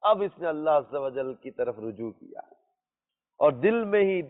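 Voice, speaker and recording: A man's voice: fairly high pitch, very animated. A man telling a religious story as a teacher, emphatically, repeating phrases and posing rhetorical questions. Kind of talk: religious storytelling lesson to listeners. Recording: muffled lo-fi audio in reverberant hall.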